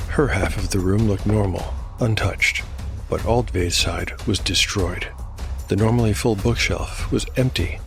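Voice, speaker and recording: A man narrating a scripted dark fantasy audio drama in a measured, dramatic storytelling manner. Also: fairly low pitch, gravelly. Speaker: narrator and host. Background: music.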